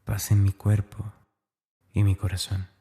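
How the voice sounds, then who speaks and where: Man playing a low-voiced, tormented, brooding young man. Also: completely flat delivery, measured pace, warm tone. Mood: eerily calm. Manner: intense, breathy, often dropping toward a whisper. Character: tormented, brooding young Irish man confessing dark violence. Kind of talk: dramatic confessional monologue in horror audio drama.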